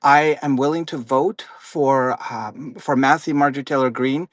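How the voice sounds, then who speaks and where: A man's voice: medium pitch, steady tone, dry recording. A man originally from Afghanistan calling in to a live audio chat, speaking earnestly and politely with some hesitation. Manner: earnest, polite, a little halting. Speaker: caller.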